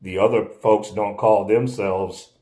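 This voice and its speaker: Emphatic lower-voiced man, insistent. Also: steady tone, takes it slowly, warm tone. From United States (born in Oklahoma). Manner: repetitive, emphatic, insistent, occasional laughs. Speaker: video commentator. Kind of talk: impassioned spoken commentary.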